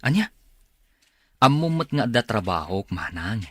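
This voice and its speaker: An actor playing a working younger brother who defensively makes excuses, with an Ilocano accent, in a radio drama. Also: male voice, fairly low pitch, sing-song, a little slow, no echo, studio quality.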